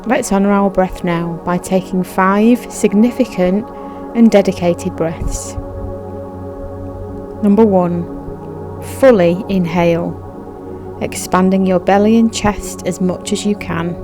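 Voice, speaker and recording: A woman narrating a guided meditation in a soothing, measured, instructive manner. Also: mid-range voice. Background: background music.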